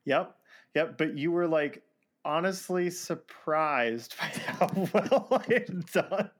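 Self-deprecating man, halting. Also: on the high side, lively intonation. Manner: self-deprecating, laughs often, halting restarts. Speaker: voice actor guest. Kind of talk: casual conversation.

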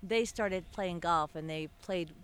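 A woman's voice: on the low side, measured pace, fairly bright tone, clean tone. A woman, a guest on a golf podcast, talking in an easygoing, encouraging way. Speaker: guest, avid golfer. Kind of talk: casual interview answers.

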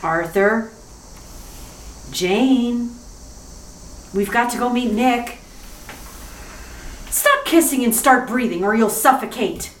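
A woman's voice: a little high, slow pace, long pauses, recorded from a distance, slight background noise. A woman playing a witty, composed detective's wife in a retro radio drama, with dry, sophisticated lines. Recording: echoey room, off mic.

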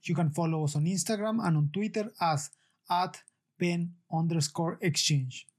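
A man hosting a podcast, asking questions and reading a formal scripted outro in a deliberate way. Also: fairly high pitch. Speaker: podcast host.

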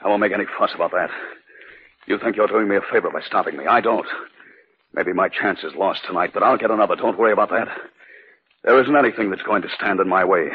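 A man's voice: low-pitched, fast, lightly acted. An actor playing a sullen, obsessed, threatening man in vintage radio drama style. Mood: bitter, obsessed. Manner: threatening, defiant. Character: sullen, obsessed man, menacing, capable of murder. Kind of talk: 1940s-50s radio drama acting. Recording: dull, slightly noisy vintage radio recording.

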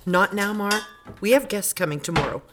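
A woman's voice: medium pitch, moderate pace, noisy background, close to an everyday voice. An actress playing a harried, evasive, practical mother in an audio drama, with a clipped, dismissive way of talking. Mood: harried, impatient. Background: music under the voice.

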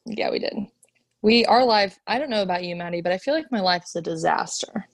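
A woman's voice: mid-range voice, fairly quick, gravelly, dry recording, studio quality. A woman in her twenties co-hosting a podcast in casual chat, blunt and teasing, laughing often. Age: 20s. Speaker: podcast co-host.

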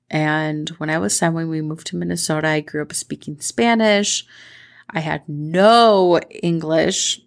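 Low-voiced woman host with a gravelly edge. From Colombia. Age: 30s. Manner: warm, reflective, chatty with fillers. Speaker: podcast host. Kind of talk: personal storytelling monologue.

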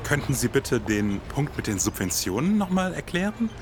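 An actor playing a formal, pompous detective in a satirical noir podcast drama, with stilted, theatrical phrasing. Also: male voice, mid-range voice, big pitch swings, moderate pace, noisy background. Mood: formal, probing.

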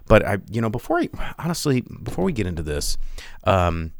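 A man's voice: lowish voice, wildly swooping pitch, brisk, gravelly, a little hiss or hum. A man hosting a podcast livestream in a casual, rambling way, with lots of ums and restarts and a loose, jokey tone. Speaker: podcast host.

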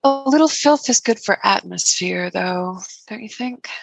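A woman as an easygoing staffroom philosopher, unhurried. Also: mid-range voice, expressive, vocal fry, faint music behind, rough recording. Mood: reassuring. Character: easygoing, philosophical school staffer named Tyler, consoling a cleaner.

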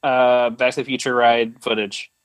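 A man's voice: mid-range voice, level tone, normal speed, clear, resonant tone, dry recording. A man co-hosting a podcast in casual chat, playfully riffing with short quips. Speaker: podcast co-host.

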